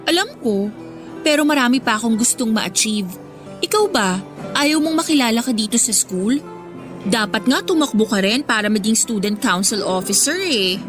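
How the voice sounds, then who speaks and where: A woman's voice: on the high side, expressive, moderate pace, natural voice. A woman as a driven, pushy Filipino schoolgirl. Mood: determined. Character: ambitious, driven, pushy teenage student council president. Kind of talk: Filipino radio drama acting. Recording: music under the dialogue.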